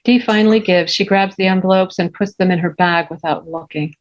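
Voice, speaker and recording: A woman's voice: on the low side, steady tone, brisk, lo-fi sound. A woman reading stage directions as scripted narration.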